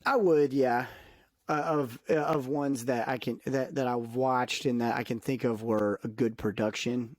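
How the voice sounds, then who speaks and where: A man's voice: medium pitch, steady tone, unhurried, darkish tone, no echo. A young man hosting a casual podcast chat, rambling with lots of fillers and restarts. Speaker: podcast host.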